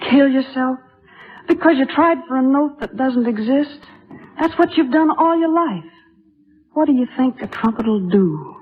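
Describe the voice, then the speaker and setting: An actress playing a caring, earnest band singer in a 1950s radio drama. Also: female voice, high-pitched. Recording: tinny 1950s radio broadcast, music behind.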